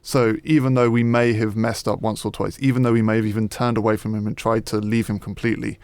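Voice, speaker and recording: A man's voice: fairly low pitch, little pitch movement, fast talker, darkish tone, no room sound. A man co-hosting a podcast, talking conversationally about faith and sport. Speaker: podcast co-host and producer.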